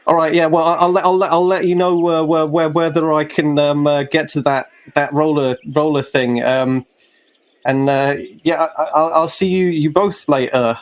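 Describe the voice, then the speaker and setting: A man's voice: on the high side, fairly even intonation, moderate pace, pitched above the performer's own voice, natural voice. A man playing an awkward, flustered teenage schoolboy in a tabletop game, stammering and evasive.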